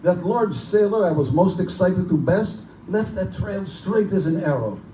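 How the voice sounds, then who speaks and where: A man's voice: sits high, expressive, unhurried. A man playing a genteel, pompous general who hunts men for sport, in a live theatrical horror drama. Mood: urbane, bored. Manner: urbane, pompous, condescending. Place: live performance in a bookstore. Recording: distant, echoey live room recording with noise.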